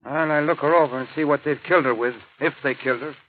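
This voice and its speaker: Actor as folksy cop, tinny radio. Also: male voice, on the high side, steady tone, fast talker, slight background noise, natural voice. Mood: inquisitive. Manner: colourful, exclaiming, folksy. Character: folksy, exclamatory Irish male police lieutenant. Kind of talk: 1930s radio drama acting. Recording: tinny, distant 1930s radio recording.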